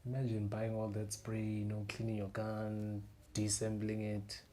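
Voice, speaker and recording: A man's voice: on the low side, completely flat delivery, measured pace, mellow. A man co-hosting a reaction video, giving casual, opinionated and joking commentary.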